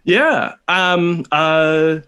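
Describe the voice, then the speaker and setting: A man's voice: on the high side, bright, forceful tone, smooth. Hesitant Californian man, casual storyteller. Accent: Californian American. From California, United States. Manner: hesitant, rambling, with many pauses. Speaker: podcast guest telling a personal story.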